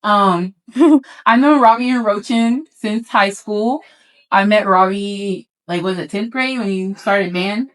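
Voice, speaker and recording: A young woman co-hosting a casual podcast, talking in a relaxed, rambling way with plenty of fillers. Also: medium pitch, slow pace, rough recording. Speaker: podcast co-host. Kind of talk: casual chat.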